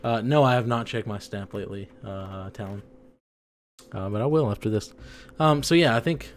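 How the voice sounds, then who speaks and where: A man's voice: on the low side, moderate pace. A young man co-hosting a podcast in casual chat, a bit tipsy from drinks, rambling with lots of fillers. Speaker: podcast co-host.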